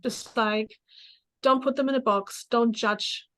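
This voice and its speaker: Higher-voiced middle-aged woman. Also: measured pace. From Germany, born in Poland. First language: German. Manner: animated, acts out remembered dialogue. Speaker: interview guest, therapist. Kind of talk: casual personal storytelling.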